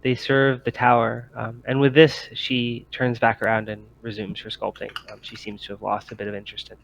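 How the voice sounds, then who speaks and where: A man narrating a tabletop roleplaying game as game master, in a halting, self-correcting way. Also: fairly low pitch, fairly even intonation, vocal fry, slight background noise, poor audio.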